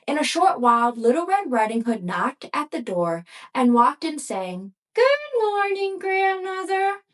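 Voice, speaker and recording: A woman reading a fairy tale aloud for children as the host of a storytime. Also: a little high. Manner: slow, lively storybook reading. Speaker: storyteller and ventriloquist.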